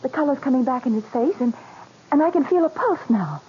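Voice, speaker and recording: A woman acting a dutiful, soft-spoken operating-room nurse in a 1940s radio drama. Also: high voice, expressive, fairly quick, breathy edge, exaggerated voice. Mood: amazed. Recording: muffled, noisy 1940s radio recording.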